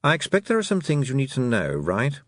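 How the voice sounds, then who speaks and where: A man voicing a small, knowing talking toad in a storybook, with a measured, matter-of-fact way of explaining. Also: medium pitch, huge pitch swings, no echo, studio quality, voice pushed up.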